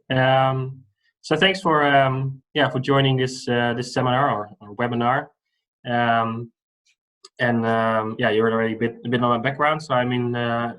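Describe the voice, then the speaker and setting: A man in his thirties from the Netherlands with a Dutch accent, giving a relaxed online lecture over a video call with many fillers. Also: mid-range voice, low-quality recording. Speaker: guest lecturer.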